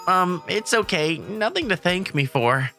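A narrator voicing an awkward, excitable teenage schoolboy, stammering and flustered, in a manga dub. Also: mid-range voice, unhurried, faint music behind, pitched above the performer's own voice. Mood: flustered, shy.

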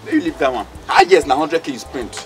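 A man's voice: a little high, lively intonation, quick pace, music in the background, close to an everyday voice. A man playing a hot-tempered, scolding friend in a drama, with a Nigerian accent and a blustering, streetwise manner. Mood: angry, scolding. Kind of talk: comedy drama acting in Nigerian Pidgin.

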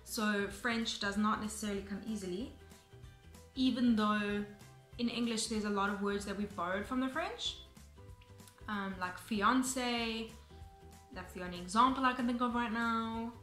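Casual South African woman in her twenties, crisp bright voice, talking to camera in an echoey room. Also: a little high, a little slow, soft background music. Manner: chatty, explanatory, frequent ums and restarts. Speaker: vlogger. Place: bright room indoors, seated on sofa.

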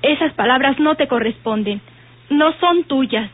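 An actress playing an earnest, firm young daughter in a radio drama, with a Mexican accent. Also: female voice, high-pitched, a little slow. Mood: firm, pleading. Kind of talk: vintage radio drama acting. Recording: tinny, narrow old radio recording.

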